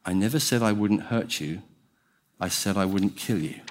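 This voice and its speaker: A man voicing a mighty, calm angel with a grave, insistent manner in a storybook reading. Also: fairly low pitch, moderate pace, close to an everyday voice. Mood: grave, firm.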